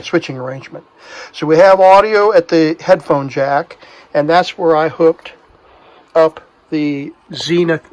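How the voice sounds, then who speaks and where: Guy explaining electronics repair stuff step by step, kinda higher voice, casual and plain. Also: low-quality recording. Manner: plainspoken, talks through each step. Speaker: host, electronics repair hobbyist. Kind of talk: hands-on demonstration and explanation, casual.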